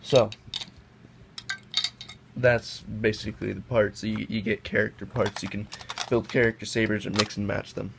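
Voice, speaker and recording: A man's voice: lowish voice, level tone, normal speed, creaky. A young man who is sick and sniffling, chatting casually and rambling as he handles toys at a table. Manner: chatty, rambling with self-corrections. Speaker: toy reviewer. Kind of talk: casual hands-on product comparison. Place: indoors at a table. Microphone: camera mic, off-screen above the table. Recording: noisy home recording.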